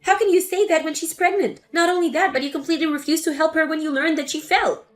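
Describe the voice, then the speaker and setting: A woman's voice: very high-pitched, quick pace, faint music behind. A woman voicing an angry adult daughter confronting her mother in a scripted text-story drama. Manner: accusing, exasperated.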